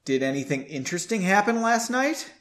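A man's voice: high-pitched, sing-song, a little fast, fairly bright tone, pitched above the performer's own voice. A man voicing a hot-tempered, nosy young woman who scolds her friend emphatically in a storybook reading. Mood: prying, surprised. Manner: indignant, emphatic.

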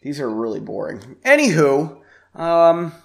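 A man, raspy and congested from a sinus infection, hosting a podcast in a rambling, self-deprecating way. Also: a little high, huge pitch swings, a little slow. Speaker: podcast host. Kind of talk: casual podcast chat.

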